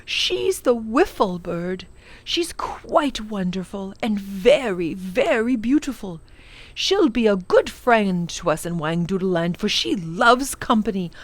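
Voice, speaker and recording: A woman's voice: medium pitch, expressive, slow pace, no echo. A woman voicing a kindly, learned elderly male professor in a children's storybook, exclaiming and savouring long words. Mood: excited, playful. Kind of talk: children's storybook character reading.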